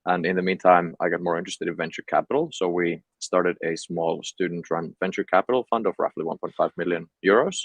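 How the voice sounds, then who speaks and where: A man's voice: deep voice, vocal fry, breathy edge, no echo. A young man from Finland with a Finnish accent, talking casually as an entrepreneur guest in an interview. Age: young adult.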